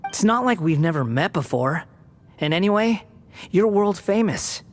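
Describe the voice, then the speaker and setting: A man's voice: high-pitched, animated, moderate pace, slight background noise. A man voicing a sardonic young male puppet held as a prisoner in a game cutscene; his speech is dry, mocking and aloof.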